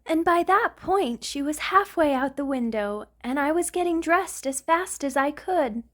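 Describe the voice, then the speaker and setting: A woman's voice: sits high, lively intonation, measured pace. A woman voicing a centuries-old vampire lover in an intimate ASMR roleplay, doting and anxious, with a hesitant, sighing delivery. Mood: fond, reminiscing. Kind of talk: ASMR romantic roleplay monologue.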